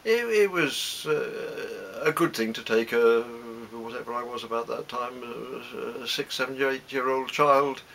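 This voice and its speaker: A British man in his seventies reminiscing in an interview, speaking haltingly with many hesitant pauses. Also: mid-range voice, creaky. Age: 70s. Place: small book-lined room at home. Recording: steady background hiss.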